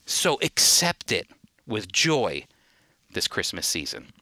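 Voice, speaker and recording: A man's voice: lowish voice, wildly swooping pitch, slow pace, sharp tone, no room sound, studio quality. A man giving an earnest, emphatic radio Bible teaching, addressing listeners directly as friends.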